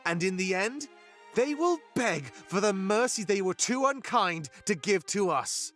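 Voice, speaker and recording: An actor playing a fervent rebel leader in a fantasy video game, giving grandiose, oratorical speeches. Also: male voice, sits high, animated, unhurried, a bit bright. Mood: fiery, vengeful. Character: fervent male rebel leader, an anthropomorphic animal rallying his fighters. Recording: music behind the voice. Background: music.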